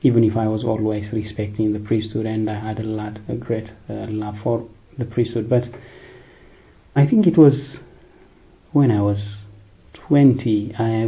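Priest, gravelly voice, lots of uhs, sounds like over a phone. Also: male voice, fairly low pitch, phone-quality sound. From Eritrea, raised in Ethiopia. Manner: hesitant, unhurried, many fillers. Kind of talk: personal vocation testimony.

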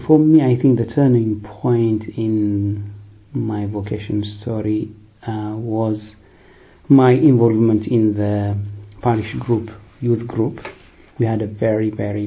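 Priest, gravelly voice, lots of uhs, sounds like over a phone. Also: male voice, lowish voice, steady tone, narrow, tinny audio. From Eritrea, raised in Ethiopia. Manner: hesitant, unhurried, many fillers. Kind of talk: personal vocation testimony.

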